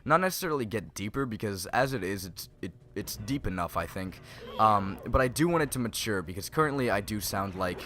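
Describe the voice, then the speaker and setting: A man's voice: lowish voice, expressive, brisk, bright, forceful tone. A teenage young man giving casual, rambling, jokey commentary over a video game playthrough. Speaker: gaming YouTuber, host of a playthrough series. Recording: game music behind the voice. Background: video game music.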